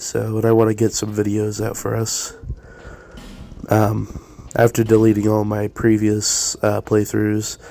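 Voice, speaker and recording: Raspy, stuffed-up gamer guy. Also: on the low side, dark tone. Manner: hesitant, many fillers. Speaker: let's play gamer. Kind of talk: casual commentary.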